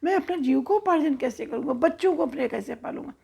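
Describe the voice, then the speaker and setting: A woman's voice: high voice, animated, quick pace, vocal fry, a little mellow, full character voice. A woman voicing a desperate male bird hunter in a children's story, pleading and lamenting his loss. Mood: despairing. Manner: wailing, pleading.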